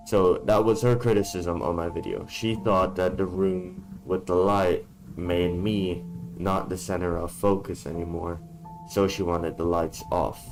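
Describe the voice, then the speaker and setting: A man talking in a casual vlog from a dark room, blunt and swearing freely, his voice worn from being sick. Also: low-pitched, level tone, measured pace, over music, rough recording. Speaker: YouTuber.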